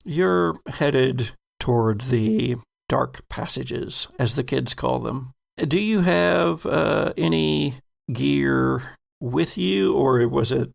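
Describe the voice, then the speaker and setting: Male game master: heavily gravelly, unhurried, deliberate storyteller. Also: medium pitch, lively intonation. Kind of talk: narrating a tabletop game.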